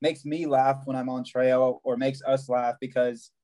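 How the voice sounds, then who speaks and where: A man's voice: mid-range voice, little pitch movement, measured pace, dark tone. A young man, a long-distance hiker, chatting over a video call from a small room, often restarting his sentences and laughing easily. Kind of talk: casual video call chat.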